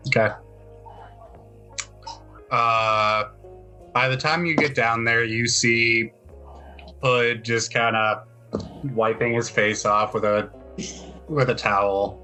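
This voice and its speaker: Slow, forceful man running a tabletop game. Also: mid-range voice, fairly even intonation, faint music behind, low-quality recording. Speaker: game master of a tabletop roleplaying podcast. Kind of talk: improvised narration and banter in a tabletop game.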